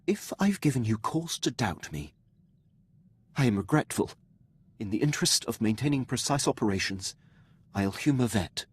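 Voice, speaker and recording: An actor playing a dutiful, loyal military officer in a video game, speaking formally and with precise, clipped diction. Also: male voice, mid-range voice, very animated, normal speed, long pauses, slightly rough. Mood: formal, earnest. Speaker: starship captain serving a lord.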